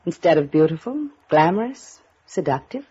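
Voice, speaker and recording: A muffled old radio drama recording of a coy, poised woman of the mansion. Her voice is low and husky, in classic noir style. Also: very animated, moderate pace, dark tone, full character voice. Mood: coy, flirtatious.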